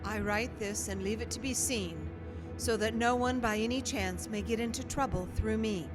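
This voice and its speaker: A woman's voice: on the high side, steady tone, normal speed, close to an everyday voice, poor audio. An actress playing a frail, frightened young woman with melodramatic, breathless delivery in a radio horror drama. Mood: frightened, fading. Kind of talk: old-time radio horror drama acting. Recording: live radio play under loud music.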